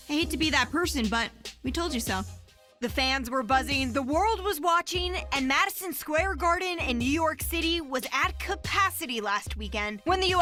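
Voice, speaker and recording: A woman's voice: sits high, pushed and bright. A woman presenting a short scripted sports news segment with polished, upbeat delivery.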